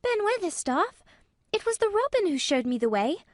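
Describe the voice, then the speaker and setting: A woman's voice: high voice, expressive, moderate pace, dry recording, clean studio sound, voice pushed up. A woman voicing a plucky, obstinate little girl in an audiobook. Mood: protesting. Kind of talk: audiobook character voice.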